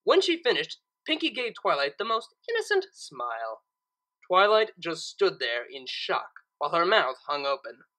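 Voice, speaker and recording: A woman reading fan fiction, with expressive narration and casual commentary in a theatrical, emphatic manner. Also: mid-range voice, low-quality recording.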